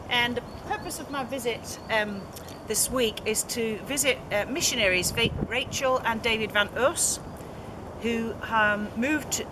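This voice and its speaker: A woman hosting a casual on-location interview by a lake, chatty and hesitant with fillers. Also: fairly high pitch. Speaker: interviewer, ministry visitor. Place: outdoors by a lake and forest. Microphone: camera held by a companion. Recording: outdoor noise.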